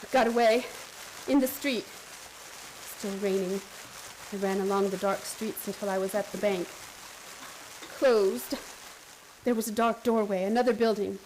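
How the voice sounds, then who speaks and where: A woman's voice: mid-range voice, steady tone, a little slow, pauses often, a little mellow, a little hiss or hum, natural voice, poor audio. An actress playing an obsessed, frantic woman hospital patient who tells her strange story in a radio play, intense and theatrical. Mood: tense, secretive. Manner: intense, haunted, urgent, theatrical. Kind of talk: radio play acting, first-person dramatic narration.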